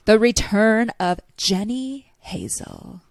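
A woman hosting a podcast in casual chat, quick and chatty with frequent laughs, a little stuffy today. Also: mid-range voice, wildly swooping pitch, vocal fry, no echo, clean studio sound. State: slightly stuffy nose. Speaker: podcast host.

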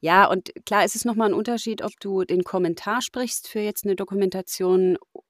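A woman's voice: mid-range voice, fairly even intonation, brisk, no echo. Dry-sounding woman voice-over artist, thoughtful. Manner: thoughtful, many fillers and restarts. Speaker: professional voice-over artist, podcast guest. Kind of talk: casual conversation.

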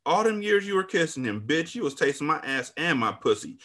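A man voicing a furious, foul-mouthed woman in an audiobook drama, loud, sassy and confrontational, bent on revenge. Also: on the high side, big pitch swings, fairly quick, voice pushed up, heavily acted. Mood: vengeful, gloating. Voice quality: loud, raised voice. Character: Alice, furious, foul-mouthed, vengeful woman betrayed by her friend.

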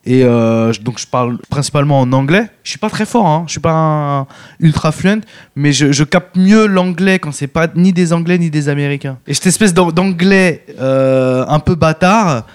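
A man's voice: a little high, normal speed, smooth. A man from France hosting a casual chat, talking loosely and in slang. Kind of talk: casual conversation.

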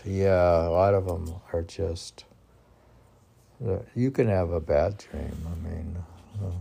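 A man's voice: low-pitched, vocal fry, mellow. A man informally reading poems and commenting on them in a rambling, conversational way, posing questions and answering them himself.

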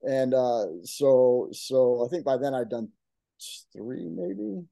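Rambling older man with a mellow voice. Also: medium pitch, expressive, slow pace, creaky, no room sound. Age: 60s. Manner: rambling, many restarts and "like"; quotes others in his stories. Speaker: guest. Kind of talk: casual interview chat.

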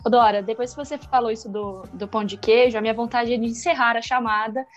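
Brief: woman, Minas Gerais accent, slightly high voice, animated and opinionated sports pundit on a video call. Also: fast, highs cut off, faint music behind. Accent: Minas Gerais Portuguese. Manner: animated, opinionated, thinks aloud with restarts. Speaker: sports journalist guest. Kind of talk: casual video-call discussion.

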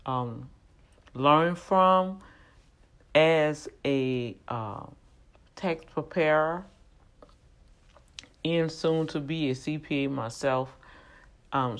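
A tax preparer speaking casually to viewers, halting often with fillers and restarts. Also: medium pitch, a bit bright. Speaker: tax preparer recommending a channel. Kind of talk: casual spoken aside to viewers.

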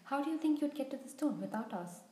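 A woman's voice: high voice, expressive, quick pace, warm tone, slight background noise, voice pushed up. A woman voicing an anxious, loyal schoolgirl, emotional and emphatic, in a storybook reading. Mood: brisk. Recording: roomy, echoing sound.

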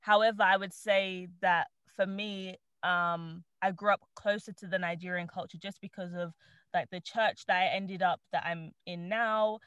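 A young woman, born in Trinidad and raised in the UK, chatting casually on a podcast panel, animated and quick to laugh. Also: on the low side, clear, resonant tone, no room sound, dull audio. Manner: chatty, animated, laughs often, many fillers.

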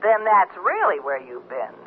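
An actress playing a pushy, sardonic jilted ex-girlfriend in an old-time radio comedy, brisk in manner. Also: fairly low pitch, wildly swooping pitch, slightly rough, heavily acted. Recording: tinny phone-like vintage radio sound.

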